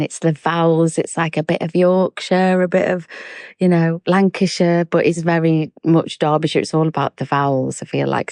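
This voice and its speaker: A woman actor answering interview questions in a chatty, enthusiastic way with quick asides. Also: sits low, a little mellow, dry recording, studio quality.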